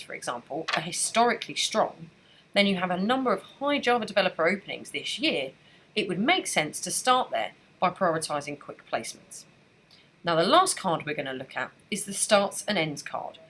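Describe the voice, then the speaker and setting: A woman narrating a scripted software training screencast in a clear, even instructional manner. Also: fairly low pitch.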